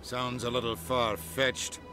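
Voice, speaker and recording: A man playing a sceptical, sardonic diplomat in a video game, with a dry, deliberate delivery. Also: mid-range voice, level tone, over music, lightly acted. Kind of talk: video game cutscene acting.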